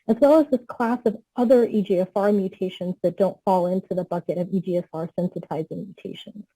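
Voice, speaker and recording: A woman oncologist giving a medical webinar lecture, explaining clearly, a little hoarse. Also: lowish voice, dark tone, dry recording, rough recording. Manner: clear explanatory tone, asks for next slide. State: slightly losing her voice.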